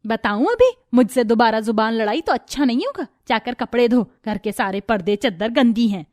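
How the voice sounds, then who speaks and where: A woman's voice: on the high side, lively intonation, moderate pace, a little mellow, no room sound, clean studio sound, natural voice. A woman voicing a harsh, domineering older mother-in-law who scolds, taunts and accuses in a Hindi family story. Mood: stern.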